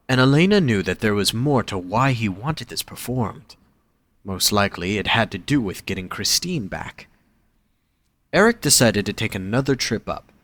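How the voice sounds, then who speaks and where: A man's voice: lowish voice, a bit bright, dry recording. A man reading scripted story narration as the narrator of an audio drama.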